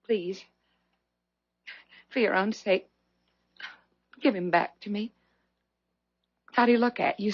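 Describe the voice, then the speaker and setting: An actress playing a jilted young woman in a 1970s radio drama, bitter, desperate and highly emotional, with a husky voice. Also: no room sound, heavily acted. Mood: desperate pleading. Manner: emotional, scornful, pleading. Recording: muffled old radio drama.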